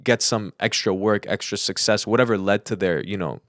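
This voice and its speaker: A man's voice: on the low side, fairly quick, fairly bright tone, dry recording, studio quality. A man talking casually and at length as a podcast co-host, in a chatty, rambling storytelling way. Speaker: podcast co-host, audio engineer.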